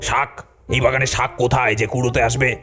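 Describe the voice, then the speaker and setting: A man's voice: medium pitch, flat delivery, fairly quick, bright, forceful tone, faint music behind, lightly acted. A man voicing a hot-tempered, bossy village uncle in a cartoon, scolding and suspicious. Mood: angry, accusing. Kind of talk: cartoon voice acting.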